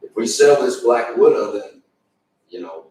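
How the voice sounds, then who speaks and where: A man's voice: medium pitch, expressive, measured pace, darkish tone. A polite, plain-spoken man, speaking casually and deferentially as an employee at a meeting. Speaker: county employee addressing commissioners. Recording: echoey meeting room, distant mic, faint noise.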